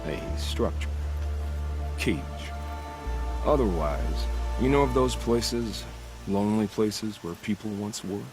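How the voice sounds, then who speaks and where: Game bandit hunter: low, unhurried, quietly sinister philosopher of killing, over music. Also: male voice. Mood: calm, menacing. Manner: soft-spoken, measured, philosophical. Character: calm, menacing male bandit-killer, ex-soldier with a taste for killing. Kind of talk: video game voice acting.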